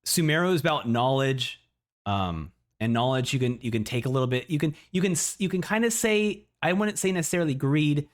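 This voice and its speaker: A man in a casual livestream reaction, talking excitably and often repeating words as he thinks out loud. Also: fairly high pitch, brisk. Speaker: streamer reacting to a video.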